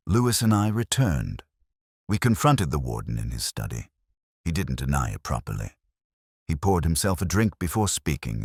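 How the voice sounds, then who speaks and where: A man playing a weary, melancholy English police detective, narrating a radio mystery in a measured, literary, introspective manner. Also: a bass voice, a little mellow, slightly rough, dry recording, studio quality, full character voice. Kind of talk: slow literary first-person detective narration, radio drama.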